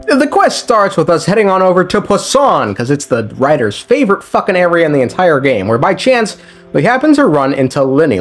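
Young male creator, animated intonation, loose sweary recap delivery with music underneath. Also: sits high, husky. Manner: slangy, profane, rambling, wryly joking. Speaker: video creator recapping a game story. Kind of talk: casual story recap commentary. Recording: music behind the voice. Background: background music.

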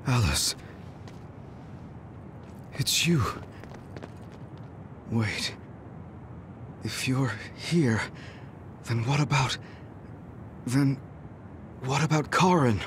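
A man's voice: mid-range voice, drawn-out words, long pauses, background noise. An actor playing a composed, solemn male military commander in an anime game dub. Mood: stunned, searching.

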